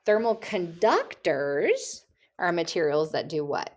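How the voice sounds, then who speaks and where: A woman's voice: fairly low pitch, sing-song, takes it slowly. A woman teacher reading test questions aloud to her students, patiently asking them to choose answers. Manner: patient, asks questions back to the listener. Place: at a computer, screen recording.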